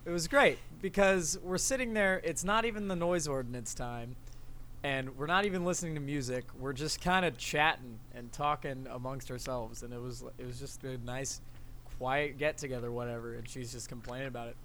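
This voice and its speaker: A young American man with a bright, forward voice tells stories among friends in a blunt, easygoing way. His pitch is average, and there is faint noise behind him. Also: normal speed. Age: young adult. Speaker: podcast co-host. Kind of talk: casual chat and storytelling among friends.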